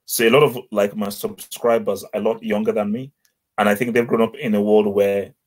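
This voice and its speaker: A man from Nigeria with a Nigerian accent, co-hosting a casual football chat, blunt and animated, often repeating words as he gets going. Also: fairly low pitch, fairly quick. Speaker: football podcast co-host.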